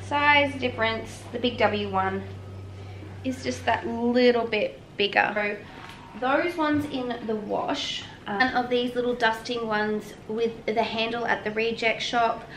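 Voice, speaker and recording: A woman's voice: fairly high pitch, fairly bright tone, a little hiss or hum. A woman, chatting casually to camera at home, rambling with frequent ums. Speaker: homemaking vlogger. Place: home kitchen and laundry room, indoors. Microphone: camera on a tripod at a few feet.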